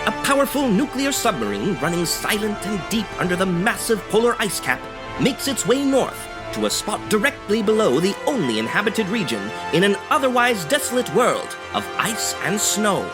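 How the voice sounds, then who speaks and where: An actor playing a dramatic old-time radio serial announcer, narrating in a grand, theatrical scripted style. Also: male voice, sits high, very animated, normal speed. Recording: music bed behind the voice.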